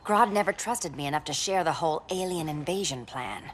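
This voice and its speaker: A calm female agent who speaks in a measured, low-key way. Her voice is low for a woman. Faint background noise sits beneath her. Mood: determined.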